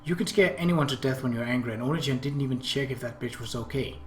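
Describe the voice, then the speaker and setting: Man as a measured, possessive younger sister, echoey. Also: mid-range voice, a little hiss or hum, close to an everyday voice. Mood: calm, reasoning. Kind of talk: visual novel dialogue read-aloud.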